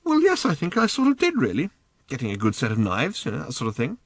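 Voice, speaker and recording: Brief: male reader voicing a worn-out, sarcastic everyman, pitched high and strained, voice swinging wildly. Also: quick pace, dry recording. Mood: flustered. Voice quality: high strained, rising to near-shouts. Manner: sarcastic, hesitant with uhs when calm. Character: exasperated frazzled human man, sarcastic and fretful.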